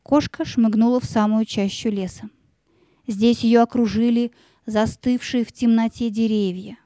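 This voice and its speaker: Mellow, higher-voiced woman storyteller. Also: steady tone, normal speed, dry recording, clean studio sound. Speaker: narrator. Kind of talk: dramatic storybook narration.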